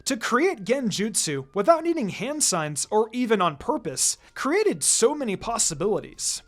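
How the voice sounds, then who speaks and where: A narrator reading fan fiction aloud in an expressive, scripted storytelling style. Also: medium pitch, moderate pace. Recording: music behind the voice. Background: background music.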